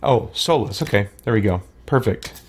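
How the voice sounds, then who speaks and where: Lively-pitched man commenting on gameplay. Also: normal speed, slight background noise. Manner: thinks aloud, muttering to himself. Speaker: let's play host. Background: game music and sound.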